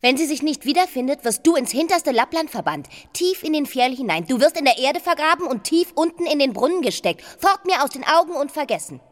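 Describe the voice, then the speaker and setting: A woman's voice: sits high, lively intonation, brisk, few pauses, in a large hall. An actress in a radio play as an imperious, threatening woman ruler handing out commands. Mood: furious.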